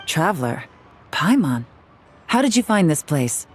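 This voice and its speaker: A woman voicing a serious, watchful female officer in a video game, measured and businesslike. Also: deep voice, big pitch swings, slight background noise. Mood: composed.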